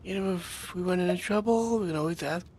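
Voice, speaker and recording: A woman's voice: on the low side, fast, a little mellow. A woman making casual comments as she plays through a video game. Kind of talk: casual gameplay chat.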